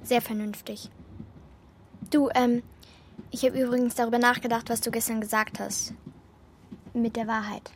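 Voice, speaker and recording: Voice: medium pitch, flat delivery, normal speed, long pauses, a little hiss or hum, lightly acted. A young performer playing a frank, resolute girl with a blunt, reassuring manner in a German children's radio drama. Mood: matter-of-fact. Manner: blunt, matter-of-fact, reassuring. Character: frank, resolute girl, the boy's protective companion.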